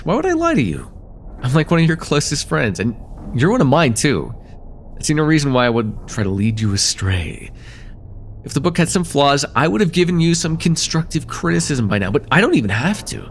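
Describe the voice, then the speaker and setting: Man acting as a friendly college guy, lively pitch. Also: a little hiss or hum. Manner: casual, hesitant, conversational with soft teasing. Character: friendly, teasing college-age man chatting with close friend. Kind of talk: slice-of-life ASMR roleplay acting.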